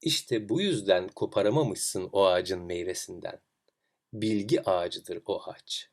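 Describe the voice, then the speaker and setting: A man voicing a kindly old sage in a fairy tale, compassionate, measured and sure of himself. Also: deep voice, creaky, exaggerated voice. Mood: assured teaching. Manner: soft, compassionate, assured, measured. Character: kindly, confident old male sage with grizzled beard.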